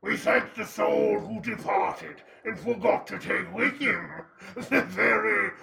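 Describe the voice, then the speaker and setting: A man voicing a horrid ghoul leader, grandly morbid, with a twisted snarl and drawn-out, grandiose delivery in a dramatized horror-comedy. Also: high voice, creaky, bright, forceful tone, full character voice. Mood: gleefully grandiose.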